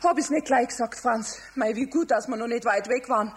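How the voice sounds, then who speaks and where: A woman playing a brisk, fussing mother with a Bavarian accent in a children's radio play. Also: fairly high pitch, background noise, natural voice. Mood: fussing, relieved.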